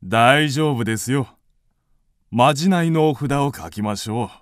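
A man's voice: medium pitch, animated, moderate pace, dry recording, clean studio sound, lightly acted. A man playing a grave, reassuring ascetic healer in a radio drama, with solemn, formal archaic speech. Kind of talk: Japanese radio drama acting.

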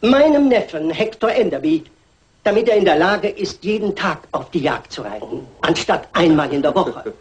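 Performer as solemn will reader, husky, dry, measured, formal, crime radio play. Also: medium pitch, very animated. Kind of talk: formal reading in German radio crime drama.

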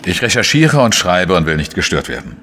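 Low-voiced, wry investigative journalist for crime audio drama; laconic yet expressively inflected. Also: male voice, very animated, a little fast, bright, forceful tone, slight background noise, exaggerated voice. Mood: sardonic, defiant. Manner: sardonic, laconic. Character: dry, defiant male investigative journalist, narrating his case. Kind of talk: radio play dialogue and first-person narration.

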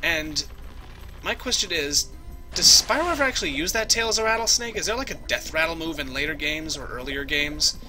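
A young man talking over his gameplay with a bright, lively voice that bounces all over the place. He clowns around, makes silly noises and chats with the characters on screen. Game music plays softly behind him. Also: on the high side, brisk. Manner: goofy, jokey, talks to the game characters. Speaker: let's play host. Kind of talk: casual gameplay commentary.

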